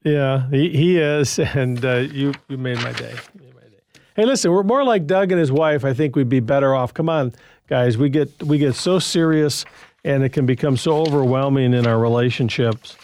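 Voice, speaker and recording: A man's voice: medium pitch, normal speed, a little mellow, a little hiss or hum. A man hosting a call-in advice show on the radio, talking in a loose, conversational way and chuckling often. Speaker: radio host.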